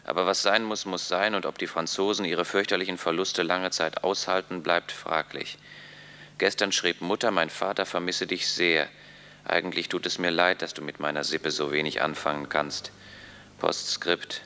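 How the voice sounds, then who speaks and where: A weary soldier husband in a radio drama, voicing his letter from the trenches. The voice is very deep and clear, with a forceful edge. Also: fairly even intonation, moderate pace. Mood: weary, sober.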